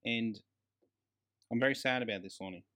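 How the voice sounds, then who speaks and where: A man's voice: medium pitch, moderate pace, fairly bright tone. A man co-hosting a casual film review chat, emphatic and slangy, with plenty of swearing. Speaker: podcast co-host.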